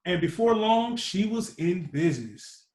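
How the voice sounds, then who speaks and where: A man reading a children's picture book aloud as a storyteller, with animated emphasis. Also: high-pitched, measured pace. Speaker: storytime reader. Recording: roomy echo.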